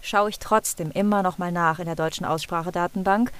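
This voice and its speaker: A woman from the Rhineland, a pronunciation content creator, telling stories in a lively, expressive way as a guest in a casual interview. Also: lowish voice, brisk, smooth. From Rhineland, Germany.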